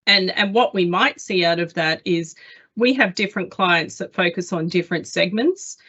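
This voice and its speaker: A woman's voice: fairly low pitch, moderate pace, bright, forceful tone, slightly rough. A woman hosting a corporate webinar, chatty and enthusiastic, with frequent fillers. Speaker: webinar host. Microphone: video call. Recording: dull lo-fi online call audio.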